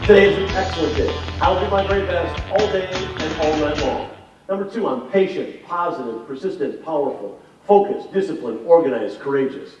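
A man giving a motivational talk to a conference audience, listing points briskly with self-mocking asides. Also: a little high. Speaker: conference speaker. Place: hotel ballroom. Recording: roomy distant hall sound, dull, music behind.

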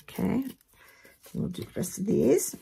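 A woman with a hoarse voice from a head cold, giving a relaxed, chatty craft tutorial at her work table. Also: a little high, moderate pace, very noisy. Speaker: craft instructor. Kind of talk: casual step-by-step tutorial.

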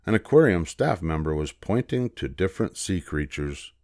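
An older man reading a children's storybook aloud as narrator, in a measured, expressive way. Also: low voice, dry recording, clean studio sound.

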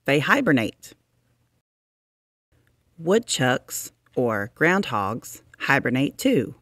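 A narrator reading a children's science book aloud, deliberately and expressively, with drawn-out emphasis for young listeners. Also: mid-range voice, sing-song, fairly bright tone, dry recording, studio quality.

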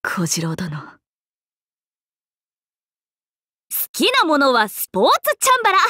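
A woman voicing a playful, cheerful young swordswoman in an anime mobile game, breezy, expressive and teasing like a big sister. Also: sits high, fairly quick, no room sound, studio quality, full character voice. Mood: sheepish, wistful. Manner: breezy, expressive, teasing, casual with sudden formal turns.

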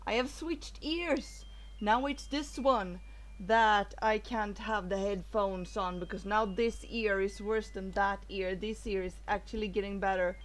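A woman's voice: mid-range voice, fairly even intonation, measured pace. A woman streaming a game from home on a headset, chatting casually and thinking aloud. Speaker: streamer playing a visual novel. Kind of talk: casual let's-play chat. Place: room at home. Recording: game music in the background.

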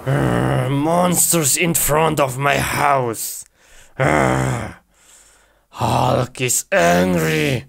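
A man voicing a hulking, angry brute who grunts and speaks in simple, broken phrases for an animated block-game cartoon. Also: medium pitch, very slow, heavily acted. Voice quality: gravelly grunting.

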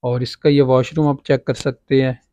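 A man's voice: medium pitch, little pitch movement, normal speed, warm tone, dry recording, muffled recording. Man casually guiding a property walkthrough. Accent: Pakistani Urdu. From Pakistan. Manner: casual guiding, filler-heavy, pointing things out. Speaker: property agent. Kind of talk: walking property tour. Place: outdoors on a farm property, walking.

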